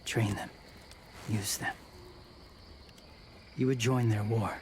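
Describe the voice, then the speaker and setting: An actor playing a quiet, guarded young male wanderer who speaks in terse, subdued fragments in a video game drama. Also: on the low side, steady tone, takes it slowly, background noise. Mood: grave, calm.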